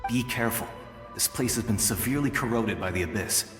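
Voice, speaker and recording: An actor playing a gentle, regretful male ghost in a video game, an earnest and protective guide. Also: fairly low pitch, fairly quick, lightly acted. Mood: urgent, guiding. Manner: earnest, reassuring. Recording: echoing reverberant effect, music behind.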